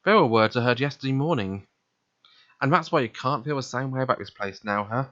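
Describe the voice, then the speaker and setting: A man's voice: medium pitch, expressive, brisk, fairly bright tone, highs cut off. A man reading a visual novel aloud in a casual, conversational way, acting out dialogue as he goes. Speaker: let's play reader voicing the protagonist.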